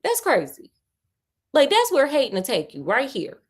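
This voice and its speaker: Expressive woman reading stories aloud. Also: high voice, normal speed, breathy edge. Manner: expressive, playful, colloquial commentary. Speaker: storyteller host. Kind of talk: livestream read-aloud with playful asides.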